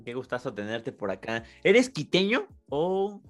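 A man's voice: fairly high pitch, wildly swooping pitch, unhurried, soft background music. A Mexican man with a Mexican accent hosting a podcast interview in an upbeat, informal way. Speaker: podcast host. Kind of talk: casual interview.

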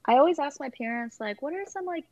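A woman's voice: high-pitched, expressive, fast talker, a little mellow, no room sound. A young woman chatting casually on a livestream, talkative, with plenty of fillers. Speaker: stream co-host. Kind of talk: casual chat with friends on a livestream. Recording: dull, dry sound.

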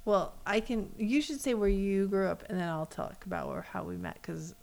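Animated American woman with a creaky voice. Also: medium pitch, normal speed. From US West Coast. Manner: animated storyteller, acts out quoted remarks. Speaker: co-host. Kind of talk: casual chat, telling personal stories.

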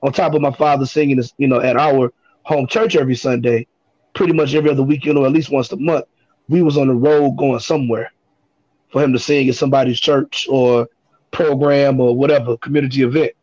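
A man's voice: medium pitch, steady tone, fairly quick, a little mellow, no room sound. A man speaking as a guest on a podcast, hesitant and full of ums and repeated words. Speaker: guest hip hop artist. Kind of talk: casual podcast conversation. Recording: dull, dry lo-fi audio.

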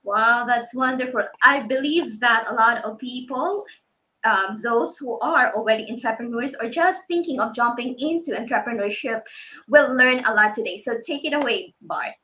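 A woman's voice: a little high, normal speed, sharp tone, low-quality recording. A woman from the Philippines, hosting a live-streamed talk show with frequent ums and restarts. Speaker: host.

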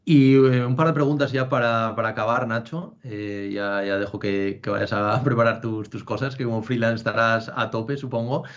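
A man's voice: mid-range voice, fairly even intonation, moderate pace, hardly any pauses. A man from Asturias hosting a podcast interview, asking questions in a friendly, hesitant way with many fillers. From Asturias, Spain. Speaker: podcast host. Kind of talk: casual interview. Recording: dull lo-fi sound.

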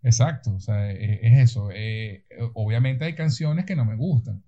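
A man co-hosts a casual podcast, stumbling over repeated words as he talks. His voice is dark and mellow, and the audio sounds dull and lo-fi. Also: lowish voice. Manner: halting, stammering on repeated words. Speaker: podcast co-host. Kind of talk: casual chat.

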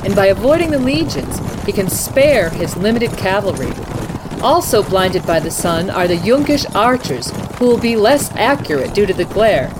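A woman's voice: medium pitch, lively intonation, normal speed, heavy background noise, natural voice. A woman playing a dramatic news anchor hosting a mock live radio battle report. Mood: serious. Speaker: host.

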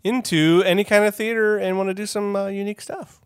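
A man's voice: sits high, fairly even intonation, fairly quick, clear, resonant tone, no room sound, studio quality. A man hosting a podcast in a casual, informal and joking chat style. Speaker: podcast host.

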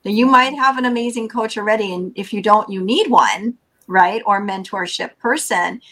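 A woman's voice: medium pitch, normal speed, fairly bright tone. A middle-aged woman hosting a podcast, talking in an earnest, enthusiastic way with some fillers. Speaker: podcast host. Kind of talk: casual podcast chat.

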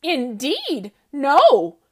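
A woman doing a prim, loud storybook goat. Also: high-pitched, huge pitch swings, very slow, voice pushed up, full character voice. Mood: proper. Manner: louder, proper and matter-of-fact. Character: odd, polite male goat who eats tin cans.

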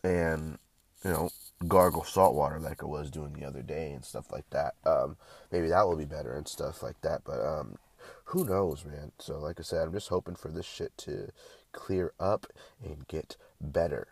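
A man's voice: rumbling low, moderate pace, creaky, breathy edge, no echo. A young man vlogging casually with a stuffy, congested nose, rambling and swearing often with lots of restarts.

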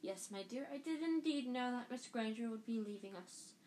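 Dreamy old-school seer lady, breathy and mystical, woman doing the voice, kinda high. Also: expressive, moderate pace, a little mellow, slight background noise, lightly acted. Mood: serene. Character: dreamy eccentric female divination teacher, murmuring.

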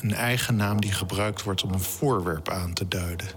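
Lage mannelijke verteller met zachte muziek. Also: male voice, deep voice, moderate pace, a bit bright, faint music behind. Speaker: astronomy podcast narrator. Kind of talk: scripted column narration.

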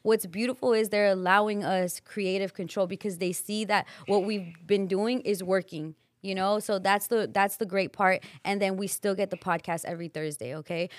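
A woman's voice: medium pitch, no room sound, clean studio sound. A woman from Los Angeles hosting a podcast, chatting casually and animatedly, with loose swearing. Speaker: podcast host. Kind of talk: casual chat.

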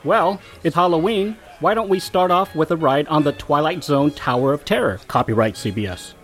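A man's voice: on the high side, normal speed, fairly bright tone, faint music behind, natural voice. A man playing a quirky, wisecracking friend in a comedy audio drama, with a wry manner. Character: wisecracking, quirky male gadget-hobbyist pal.

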